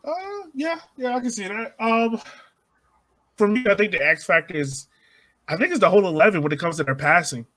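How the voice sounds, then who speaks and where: A man's voice: high voice, lively intonation, brisk, sharp tone. A man hosting a sports podcast, talking casually and informally in a conversational way. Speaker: podcast host.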